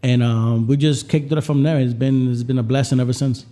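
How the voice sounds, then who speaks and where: A man's voice: mid-range voice, fairly even intonation, fairly quick, mellow. A man from Puerto Rico talking casually as a podcast guest, telling personal stories in an informal way and often repeating his phrases. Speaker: guest chef.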